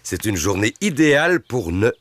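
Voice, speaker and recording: A man's voice: fairly low pitch, very animated, normal speed, music in the background. A man voicing an easygoing, good-natured but stubborn father pig in a preschool cartoon, with a hammy, exclamatory delivery. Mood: relaxed, stubborn.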